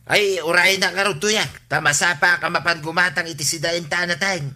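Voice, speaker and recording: An actor with an Ilocano accent playing a hapless, wheedling, henpecked husband who likes a drink, in a radio comedy drama. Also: male voice, high-pitched, fairly even intonation, normal speed, bright, forceful tone.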